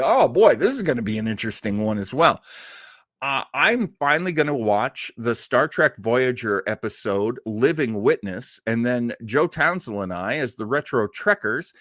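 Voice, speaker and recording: A man in his sixties with a very animated, swooping delivery hosts a casual livestream. He rambles enthusiastically and effusively, and the sound is close and dry. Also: mid-range voice, unhurried, no room sound. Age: 60s. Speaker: host of an online show.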